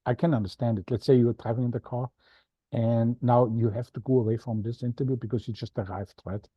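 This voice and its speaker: A man's voice: mid-range voice, fairly even intonation, fast talker, slightly rough, no room sound. A man with an Austrian accent hosting an interview podcast, chatty and a little self-deprecating as he signs off. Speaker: podcast host. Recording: dull, dry lo-fi sound.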